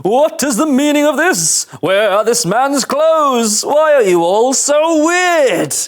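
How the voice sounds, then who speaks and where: Man voicing fussy alien senator, very high pitch, slow, indignant, haughty, animated sci-fi reading. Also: full character voice. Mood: appalled. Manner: indignant, pompous. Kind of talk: animated sci-fi script table read.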